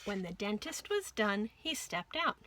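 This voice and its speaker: A woman's voice: mid-range voice, sing-song, a little slow, bright, forceful tone, slight background noise. A woman narrating a children's story expressively as a storytime host.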